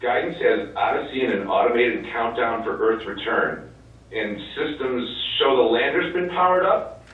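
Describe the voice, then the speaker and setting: A man's voice: medium pitch, normal speed. A man playing a businesslike Mission Control officer speaking over the radio in a stage drama. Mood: alarmed, urgent. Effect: radio transmission. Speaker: stage actor in a one-act play. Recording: very echoey, distant, tinny phone-like radio sound.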